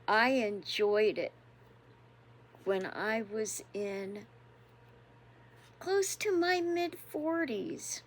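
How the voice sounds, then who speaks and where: A woman in her sixties hosting a devotional channel, chatting casually and reflectively, unhurried and chuckling often. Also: a little high, lively intonation. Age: 60s. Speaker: devotional host.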